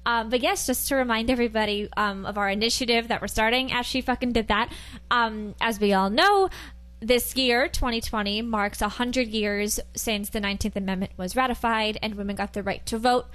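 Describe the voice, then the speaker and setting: A woman's voice: a little high, fairly quick, fairly bright tone. A woman co-hosting a podcast, chatting casually with lots of fillers. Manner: chatty, rambling with many fillers. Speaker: podcast co-host. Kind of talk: casual chat.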